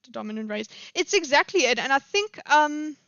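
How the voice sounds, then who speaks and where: A woman's voice: high-pitched, expressive, brisk, pushed and bright, no room sound, lo-fi sound. A woman with a South African accent, commenting on a live stream in a rambling way, with many fillers and restarts.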